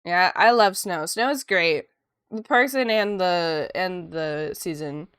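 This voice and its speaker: A woman's voice: lowish voice, slow, smooth, dry recording, clean studio sound. A woman from Ontario, a sniffly art streamer chatting casually with her viewers while she draws. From Canada, Ontario. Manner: chatty, lively, reads chat aloud.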